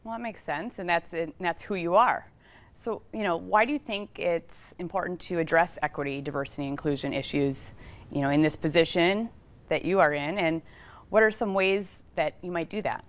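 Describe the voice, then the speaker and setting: Female television interviewer, voice a little on the low side, presenting and putting questions to a guest. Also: moderate pace. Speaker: TV show host, interviewer. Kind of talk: scripted intro and interview questions.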